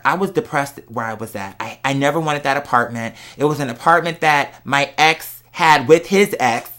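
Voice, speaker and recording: Bright-voiced, candid American vlogger. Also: medium pitch. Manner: candid, slangy, emphatic. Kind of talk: casual confessional vlog chat to followers.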